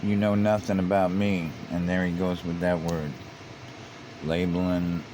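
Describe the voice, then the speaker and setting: Man, low voice, casual commentary read off a screen, stumbling restarts, hum of noise behind. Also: level tone, a little slow. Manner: repeats words and restarts, grows heated and emphatic. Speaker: commentator reading online comments.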